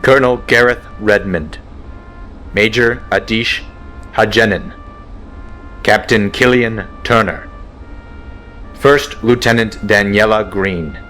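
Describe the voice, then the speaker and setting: A man's voice: on the low side, fairly bright tone, faint music behind, poor audio. A man playing a weary fighter colonel. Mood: solemn. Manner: solemn and measured, dryly blunt in private. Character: grieving, weary male fighter-wing colonel. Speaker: colonel and squadron commander. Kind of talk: fan audio drama acting.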